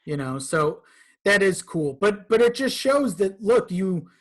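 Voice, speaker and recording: A man's voice: high voice, very animated, unhurried. A man talking casually as a podcast guest, informal and conversational, with some swearing. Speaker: podcast guest, content creator.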